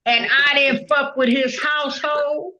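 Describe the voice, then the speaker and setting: A woman's voice: sits high, steady tone, measured pace, sharp tone, lo-fi sound, a little hiss or hum. An older woman speaking in a blunt, profane, emphatic casual monologue.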